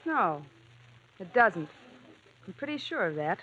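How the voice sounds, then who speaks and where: A woman's voice: medium pitch, sing-song, measured pace, sharp tone. Wry plainspoken young woman, loyal and suspicious, dull radio sound, faint hiss. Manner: wry and blunt. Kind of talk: 1940s radio acting.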